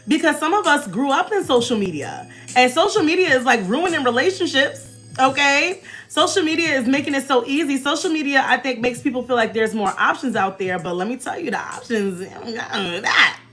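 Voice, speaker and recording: An American woman in her forties hosting a casual, chatty livestream with animated, emphatic delivery and informal slang. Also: high-pitched, normal speed. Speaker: livestream host. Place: small indoor room, backdrop behind. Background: faint music.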